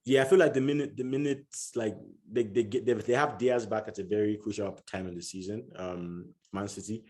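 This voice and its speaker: A man's voice: on the low side, steady tone, brisk, a little mellow. A man chatting casually about football as a podcast guest, often restarting and repeating words as he thinks aloud.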